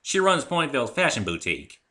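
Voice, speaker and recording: A man's voice: mid-range voice, very animated, quick pace, sharp tone, pitched above the performer's own voice. A man voicing a hearty, stubborn young farm mare, a folksy cartoon pony with a Southern country accent, in a story reading.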